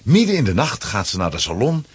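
A man with a Flemish accent and a husky voice, narrating a children's adventure as a scripted audio drama. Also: lowish voice, huge pitch swings, brisk, dry recording, heavily acted. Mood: suspenseful.

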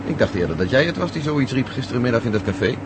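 A man's voice: medium pitch, sing-song, very fast, lightly acted. A man acting in a radio drama as a curious, wry friend, speaking conversationally with dry teasing. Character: Stevens, curious, wry male friend of an astronaut. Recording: noisy radio play, vehicle hum.

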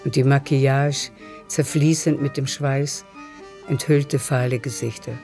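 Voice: mid-range voice, steady tone, unhurried, a little mellow. Literary radio narrator over music.

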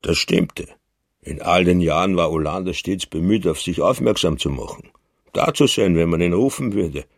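A man reading scripted literary narration in a radio crime drama with a husky voice. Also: deep voice, normal speed, no room sound, clean studio sound.

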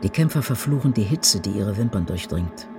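A man reciting poetry for a radio production in deliberate, declamatory short statements. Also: fairly low pitch, expressive. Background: music.

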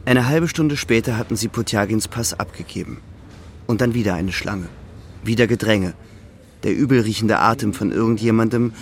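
A man reading scripted literary narration with a creaky voice. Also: on the low side, slight background noise.

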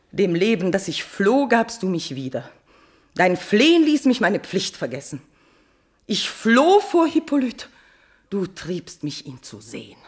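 Husky, anguished tragic queen. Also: fairly low pitch, darkish tone, full character voice. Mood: horrified reproach. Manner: declamatory classical verse delivery. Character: anguished, guilt-ridden queen, reproachful and proud. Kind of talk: classical German radio tragedy acting.

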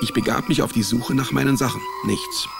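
An actor playing a wry, down-on-his-luck private detective who narrates a noir radio drama in a laconic, deadpan way. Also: medium pitch, fairly quick, creaky, over music. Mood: dry, sardonic. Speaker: narrator and lead character.

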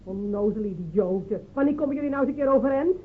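A woman's voice: on the high side, animated, fast, loud music behind the voice, lightly acted. An actress playing a fierce, scornful village woman in a radio drama, mocking and scolding. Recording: tinny band-limited old radio recording, crowded.

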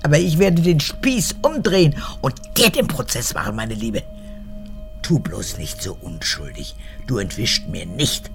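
An actress playing an imperious, cunning old mother in a radio drama, capricious and theatrical, moving between whispers and sharp words. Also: rumbling low, sing-song, a little slow, soft background music, exaggerated voice. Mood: combative, accusing. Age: older. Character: imperious, cunning old mother, forgetful former Nazi fanatic. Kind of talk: German radio drama acting.

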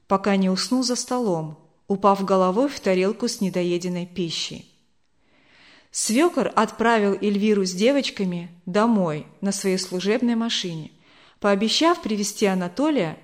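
A woman narrating a novel as a scripted audiobook. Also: mid-range voice.

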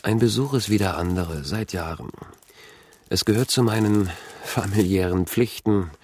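An actor playing a brooding, haunted man in a radio drama, speaking in a measured, subdued way. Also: fairly low pitch, darkish tone, breathy edge. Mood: brooding, reflective. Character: brooding man haunted by fragmentary childhood memories. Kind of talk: introspective radio drama monologue and dialogue.

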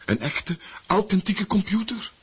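A man's voice: high-pitched, animated, measured pace, a bit bright, breathy edge, full character voice. An actor playing an obsequious aide to a ruler in a radio satire, deferential and hesitant in his way of talking. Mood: timid doubtful. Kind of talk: Dutch-language radio satire acting.

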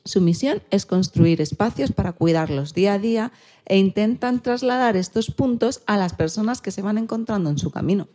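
A woman's voice: medium pitch, expressive, normal speed, dark tone. A woman presenting a magazine show, partly reading from a script.

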